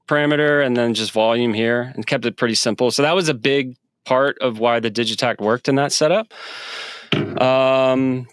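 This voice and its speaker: A man with a smooth, resonant voice and a dry, close sound, speaking in a halting, casual way. He fills his answers with ums and restarts as he explains his gear. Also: mid-range voice, fairly bright tone, dry recording. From United States. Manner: halting, many fillers and restarts. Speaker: guest musician. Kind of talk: casual interview talk. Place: home studio with synth rack.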